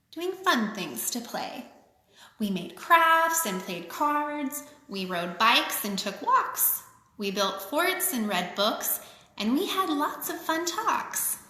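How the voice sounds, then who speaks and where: A woman reading a picture book aloud to children, with expressive, simple phrasing and chatty asides. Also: high-pitched. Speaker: children's author reading her own book. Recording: echoey, reverberant room.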